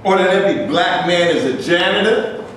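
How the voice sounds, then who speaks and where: Man playing an earnest actor, strikingly high voice. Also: moderate pace, a bit bright. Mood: calming, reproachful. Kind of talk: staged play reading. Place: indoor room, live staged reading. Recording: echoey room, faint noise.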